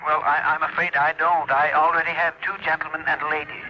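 A prim, fussy gentleman speaks firmly and reluctantly over a telephone in old radio drama style. His voice is a bit high and muffled. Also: level tone, fast talker. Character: fussy, firm male car owner on telephone. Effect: telephone filter. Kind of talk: 1950s radio acting.

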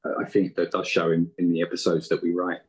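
A man's voice: low voice, monotone, quick pace, breathy edge. A British man chatting casually as a guest in an interview. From United Kingdom. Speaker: interview guest, audio drama writer.

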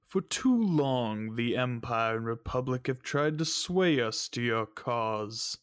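Casting: stern, unhurried alien sage played by a man, formal and cold, for a sci-fi audio drama. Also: mid-range voice, lively intonation, clean tone, no room sound, studio quality. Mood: grave, accusing. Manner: solemn, measured, formal. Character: grave, cold alien mystic in robes, adult male.

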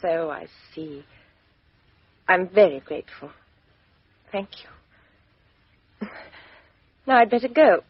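An actress playing a proud, bitter young English woman in a 1950s radio drama, sharp and emotional. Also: lowish voice, expressive, takes it slowly, pauses often, breathy edge, no echo, full character voice. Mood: composed. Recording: dull lo-fi 1950s radio recording.

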